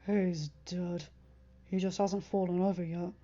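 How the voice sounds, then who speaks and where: A woman voicing a quietly menacing, protective man who murmurs softly in an audiobook story. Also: little pitch movement, unhurried, lo-fi sound. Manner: soft, low murmur.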